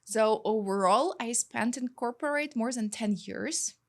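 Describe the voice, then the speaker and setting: A woman, a guest in a studio interview, chatting quickly and filling pauses with "like" and "you know". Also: fairly high pitch. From Ukraine.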